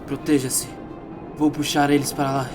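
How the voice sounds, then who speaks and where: A man's voice: medium pitch, little pitch movement, normal speed, close to an everyday voice. A Brazilian man playing a solemn, well-travelled wise sage in a tabletop game, exhorting his companions. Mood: solemn urging. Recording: loud music under the voice.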